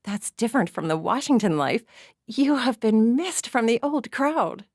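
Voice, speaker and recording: A woman voicing a poised young society woman who speaks in a sweet, deliberate, cultured way. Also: a little high, lively intonation, no room sound, studio quality, pitched above the performer's own voice.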